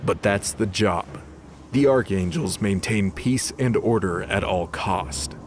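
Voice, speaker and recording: A man voicing a sardonic, gruff disgraced angel fighter in an audio drama, swearing and sarcastic. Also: on the low side, slow, a little hiss or hum. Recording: music under the voice.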